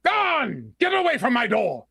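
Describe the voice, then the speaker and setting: A contemptuous old skinflint for an old-time radio play. The voice is high and creaky, recorded dry and close. He barks his orders and spits out scorn with emphatic force. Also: wildly swooping pitch, unhurried, pushed and bright, no room sound, full character voice. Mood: furious. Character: grumpy, miserly old male employer, contemptuous and bullying. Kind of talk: vintage radio drama acting.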